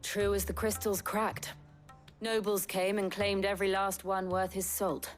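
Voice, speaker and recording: A woman's voice: medium pitch, little pitch movement, measured pace, soft background music, close to an everyday voice. A woman playing a cool, sardonic mercenary type in a medieval fantasy game, with a dry delivery. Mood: haughty, teasing. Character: cool, sardonic female companion posing as mercenary commander. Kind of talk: dramatic video game cutscene dialogue.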